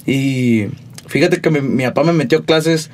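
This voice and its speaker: Young man, Sonoran accent, musician guest, laid-back, slangy, rambling, mid-pitched voice. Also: a little slow, darkish tone. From Sonora, Mexico. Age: 20s. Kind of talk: casual interview storytelling.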